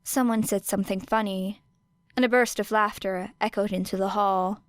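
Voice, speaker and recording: Woman narrator for a podfic, even mid pitch, dry close sound. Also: dry recording. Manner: measured, expressive storytelling. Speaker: podfic reader. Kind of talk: audiobook narration of fan fiction.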